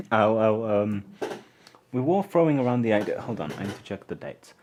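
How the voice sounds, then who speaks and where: Brief: Polish-born man, low-ish voice, casual streamer, halting and muttering while he tinkers at the bench. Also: big pitch swings, darkish tone, a little hiss or hum. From born in Poland, raised mostly in England. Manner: hesitant, restarts often, murmurs to himself while tinkering. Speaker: electronics livestream host. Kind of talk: casual live stream chat while taking apart a camera.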